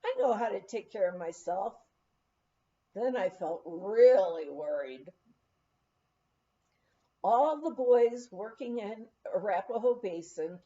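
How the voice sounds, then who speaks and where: Expressive, unhurried woman reading a memoir. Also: mid-range voice, muffled recording. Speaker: audiobook reader.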